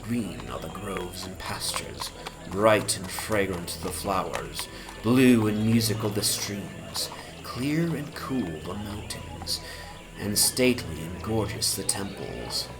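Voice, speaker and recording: A man narrating literary fantasy as a dramatic audiobook reading, in a measured, solemn and theatrical manner. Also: deep voice, lively intonation, poor audio. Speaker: narrator, reader. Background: atmospheric music.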